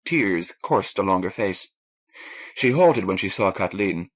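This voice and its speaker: A man's voice: deep voice, lively intonation, measured pace. A man reading a novel aloud in a scripted, literary narration style. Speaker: audiobook narrator.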